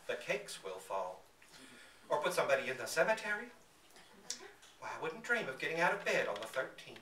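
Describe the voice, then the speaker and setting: A man's voice: medium pitch, very animated, fairly quick, pitched above the performer's own voice. Storybook role: male reader as sweet, superstitious old Southern woman, timid and polite, lilting, recorded in an echoey room. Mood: earnest, superstitious. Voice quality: soft, whispery when scared. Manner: polite, hesitant, folksy. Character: timid, gentle, superstitious elderly Southern woman, childlike friend. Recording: echoey bookstore reading, distant mic, faint noise.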